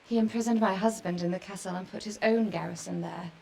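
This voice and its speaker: A woman playing a melancholy young medieval noblewoman in a video game, speaking in a measured, wistful, formal way. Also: medium pitch, fairly even intonation, vocal fry, dark tone, a little hiss or hum, lightly acted, low-quality recording. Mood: sorrowful recounting. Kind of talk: dramatic video game acting.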